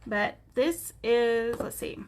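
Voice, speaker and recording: Stuffy-nosed lady teaching coloring live, chatty, kinda higher voice, greets viewers. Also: takes it slowly, darkish tone, slight background noise. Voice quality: nasal. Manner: chatty, talks to viewers by name while coloring. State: stuffy nose, sounds nasal. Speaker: craft instructor on a live stream. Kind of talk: casual live coloring tutorial.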